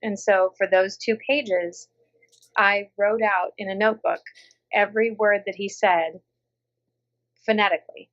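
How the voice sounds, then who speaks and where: A woman who grew up in Minnesota talks casually about her narration work, her voice animated and often dropping into the voices of people she quotes. The sound is a little dull and lo-fi, as from a remote call. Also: mid-range voice, unhurried, pauses often. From Minnesota, USA. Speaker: audiobook narrator. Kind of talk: casual interview chat.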